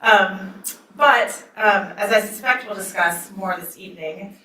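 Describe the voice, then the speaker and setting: A soft-spoken woman judge moderating a law school debate, opening with remarks and reading from a ruling, with many ums. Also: medium pitch, unhurried, a little hiss or hum. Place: lecture hall. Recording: echoey hall, mic at a distance.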